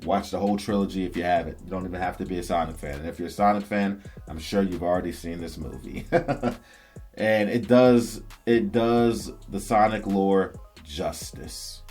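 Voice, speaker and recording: A man's voice: sits low, fairly even intonation, normal speed, darkish tone. A man hosting a vlog, giving casual, enthusiastic opinion commentary to viewers in slangy fan talk. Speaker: host of a video game and movie news channel. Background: background music.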